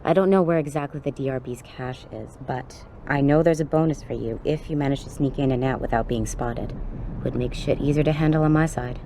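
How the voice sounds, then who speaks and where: Low, dark-voiced streetwise policewoman. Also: a little fast, slight background noise. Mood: confiding, businesslike. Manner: blunt, informal, slangy. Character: tough, streetwise woman police officer briefing an operative. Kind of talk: video game cutscene dialogue acting.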